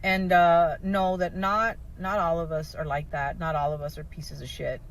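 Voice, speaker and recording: A woman's voice: on the low side, little pitch movement, moderate pace, a little hiss or hum. A woman from South Florida, speaking candidly to viewers in a blunt, emphatic, sweary monologue. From South Florida, USA. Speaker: social media video maker. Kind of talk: candid personal video monologue.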